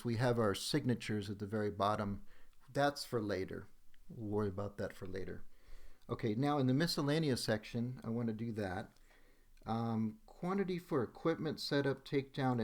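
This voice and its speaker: A man's voice: mid-range voice, a little mellow. A man giving a casual, instructional screen demo voice-over, checking in often with okay as he goes. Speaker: software demonstrator.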